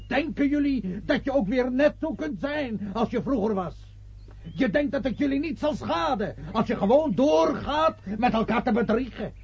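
An actor playing a fervent street preacher who declaims loudly and accusingly in a radio play. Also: male voice, extremely high pitch, vocal fry, husky, full character voice. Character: fervent male street preacher warning sinners of God's wrath. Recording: dull old radio play recording.

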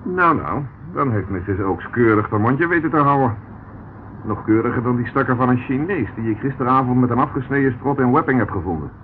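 An actor playing a sardonic, dogged police inspector in a radio drama, mocking and needling with mock politeness. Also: male voice, mid-range voice, lively intonation, a little fast, close to an everyday voice. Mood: sardonic, probing. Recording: tinny, noisy old radio play recording.

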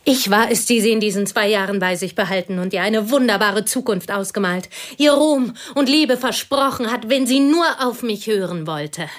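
An actress playing a plain, devoted woman who confesses intimately to a pianist in a radio play, her voice husky and often whispered. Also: mid-range voice, moderate pace, few pauses, lightly acted. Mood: intense. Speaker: confiding companion.

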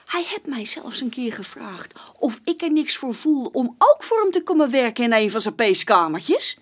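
An actress playing a young, plain-spoken barmaid giving witness testimony in a chatty, colloquial way in a radio play. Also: female voice, on the high side, sing-song, moderate pace, fairly bright tone, slightly rough, heavily acted. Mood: disgusted. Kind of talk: naturalistic radio play dialogue.

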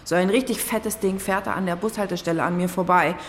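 A woman's voice: on the low side, steady tone, fast talker, slight background noise, lightly acted. An actress playing a chatty, blunt, big-hearted cleaning woman in an audio drama, coarse and garrulous. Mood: indignant. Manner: garrulous, coarse, tumbling from topic to topic.

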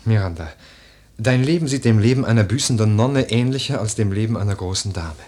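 An actor playing an earnest, melancholy nobleman in a literary radio drama, speaking in a soft, husky voice with grave, measured phrasing. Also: on the low side. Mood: grave, urgent. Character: earnest, melancholy nobleman, the widow's admonishing cousin.

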